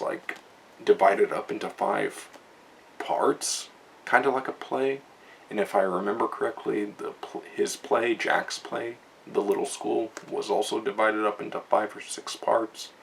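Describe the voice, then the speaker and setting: Gravelly young man rambling a book review. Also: on the low side, a little hiss or hum. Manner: rambling, many fillers and restarts. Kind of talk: casual spoken book review from notes.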